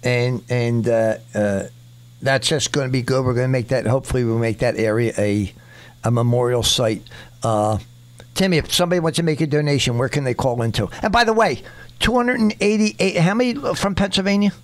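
A man's voice: mid-range voice, brisk. A man hosting a veterans radio talk show, passionate and full of stammered restarts.

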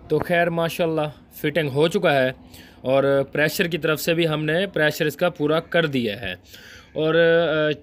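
A man in his thirties talks through a brake valve repair in a truck workshop, speaking a little higher than most men. He checks in with the viewer after almost every step. Faint workshop and vehicle noise sits under his voice. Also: a bit bright. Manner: explanatory, repeats "theek hai" to check in with the viewer; uses frequent Islamic phrases like inshallah and mashallah. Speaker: truck mechanic. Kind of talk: hands-on repair tutorial.

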